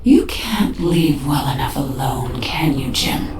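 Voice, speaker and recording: A woman playing a menacing, coldly taunting alien swarm queen in a video game, her voice dark and echo-processed. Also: a bass voice, lively intonation, slow pace, background noise, exaggerated voice. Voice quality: dark, echoing. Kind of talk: video game dramatic acting. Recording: echoing, distant voice over music.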